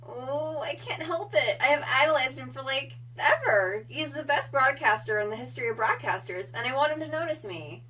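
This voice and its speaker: Girl voice actor as perky starstruck pony girl, really high n bouncy, gushy, noisy far mic. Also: lively intonation, normal speed, few pauses, bright, forceful tone. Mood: pleading, adoring. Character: eager young pegasus mare, clumsy starstruck helper. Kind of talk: audio drama acting. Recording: distant mic, noisy, humming.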